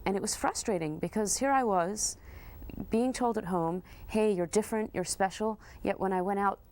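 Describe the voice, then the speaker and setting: A woman's voice: mid-range voice, normal speed, a little mellow. A woman telling a personal anecdote in a documentary interview, acting out remembered dialogue.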